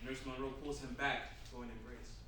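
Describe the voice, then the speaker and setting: A man's voice: medium pitch, level tone, a little fast. A man narrating a screenplay as the reader of stage directions at a staged reading. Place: live staged reading in a room. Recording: distant mic in echoey noisy room.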